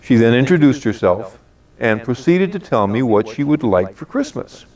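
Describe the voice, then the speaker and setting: An older man, in his late sixties or beyond, tells a personal holiday story in a measured, deliberate and expressive way, as if from a prepared script. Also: medium pitch, far from the mic. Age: late 60s or older. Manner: measured, deliberate, expressive delivery with drawn-out phrases.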